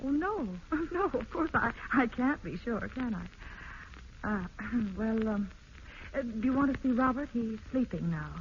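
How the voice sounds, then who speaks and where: A woman's voice: a little high, lively intonation, measured pace. An actress playing a strained young wife and mother in a vintage radio drama, with a proper, emotive delivery. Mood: awkward, gentle. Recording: muffled old radio broadcast, faint noise.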